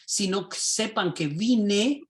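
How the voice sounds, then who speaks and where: A man, a pastor and teacher, teaching a class over a video call in a lively, sermon-like way, often asking his listeners rhetorical questions. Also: high-pitched, slow. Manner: animated, rhetorical questions, asks the class directly.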